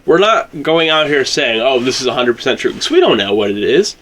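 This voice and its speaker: A man's voice: mid-range voice, big pitch swings, brisk, a bit bright. A man co-hosting a casual discussion, agreeing with and backing up his partner. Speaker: co-host discussing video game theories. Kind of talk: casual chat.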